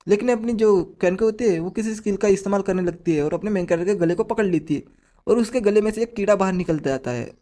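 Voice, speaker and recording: A hoarse man retelling a comic story in a rapid, chatty way, dropping in English words. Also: sits high, warm tone. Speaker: manhwa recap narrator. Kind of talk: casual storytelling recap.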